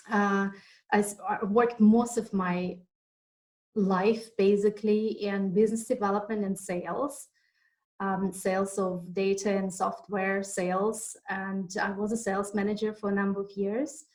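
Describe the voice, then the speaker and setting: A woman from Kazakhstan, a mother, talking about herself in a casual interview, halting often with fillers. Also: medium pitch, poor audio. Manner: halting, with many fillers and restarts. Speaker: interviewee, mother and online entrepreneur. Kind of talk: casual video chat interview.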